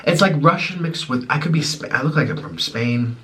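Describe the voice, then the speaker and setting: A man's voice: on the high side, a little fast, sharp tone. A man in his twenties, born in Ukraine and raised in the United States, chatting and rambling as he eats on camera at home. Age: 20s. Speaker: mukbang host. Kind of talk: casual chat while eating. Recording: slightly distant mic, faint room noise.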